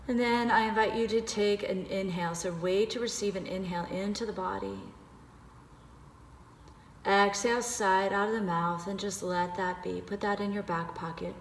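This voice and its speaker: A woman leading a guided meditation, giving gentle, unhurried instructions with pauses. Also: mid-range voice, fairly even intonation. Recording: echoey reverberant room with background noise.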